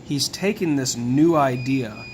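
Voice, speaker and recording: A hoarse man hosting a video, explaining in a relaxed, musing way as he walks through details one by one. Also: medium pitch, unhurried, noisy background. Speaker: tarot video host. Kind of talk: casual explanatory talk.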